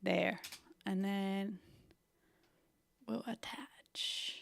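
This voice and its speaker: A woman's voice: medium pitch, steady tone, drawn-out words, gravelly. A young woman from Brazil with a Brazilian accent mixed with a Northern Irish one, giving a chatty livestream design tutorial and muttering to herself as she works. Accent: Brazilian with a Northern Irish mix. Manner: chatty, thinks aloud while working, mutters to herself.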